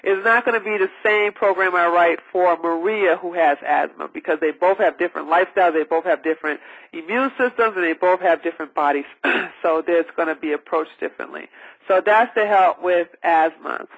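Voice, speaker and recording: A man's voice: high-pitched, fairly quick, fairly bright tone, low-quality recording. A man hosting a call-in radio health advice show, talking in a rambling, emphatic way with lots of fillers and restarts. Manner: rambling, repetitive, with many fillers; emphatic and preachy. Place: broadcasting over a phone-based line.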